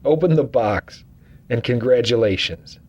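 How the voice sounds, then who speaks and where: A man playing a steady acting starship captain who gives calm orders with dry humor in a fan audio drama. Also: mid-range voice, unhurried, highs cut off.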